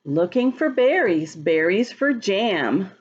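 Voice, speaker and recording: A woman leads a storytime for young children at an unhurried pace. Her pitch moves up and down in a lively, sing-song way as she reads and explains like a teacher. The recording sounds a little dull and lo-fi. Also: husky. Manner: teacherly, explains words and asks questions; expressive, sing-song on the rhymes. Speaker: picture-book reader for children. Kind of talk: read-aloud storytime with asides to children.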